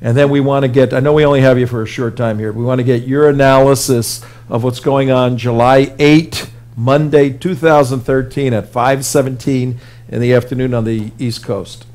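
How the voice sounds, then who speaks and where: A man hosting a live show, chatting informally and haltingly as he runs a casual interview. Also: mid-range voice, fairly even intonation, darkish tone. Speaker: talk show host.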